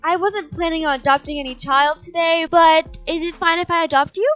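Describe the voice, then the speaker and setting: A kind adoptive mother, played by a woman in a game roleplay. She speaks in a high, chipper, accommodating way over faint music. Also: fairly even intonation, fairly quick, fairly bright tone, highs cut off. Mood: kind, eager. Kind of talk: improvised video game roleplay.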